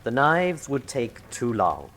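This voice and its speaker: Cruel, very low-voiced colonel. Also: female voice, sing-song, takes it slowly, a bit bright, a little hiss or hum, exaggerated voice. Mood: mocking. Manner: sardonic, commanding, precise. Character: cold, cruel, mocking colonel and villainous spymaster. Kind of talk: radio drama acting.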